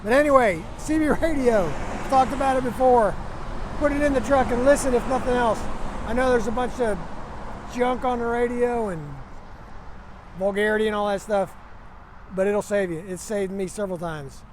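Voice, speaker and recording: A man's voice: very high-pitched, normal speed. A man telling a story casually, in a plainspoken, folksy way, as a trucker vlogger. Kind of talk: casual first-person storytelling to camera. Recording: noisy, vehicle and outdoor ambience.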